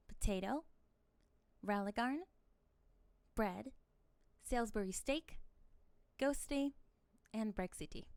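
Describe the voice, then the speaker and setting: A woman's voice: a little high, darkish tone, no echo. A woman speaking as a channel host, giving a scripted sign-off straight to her listeners.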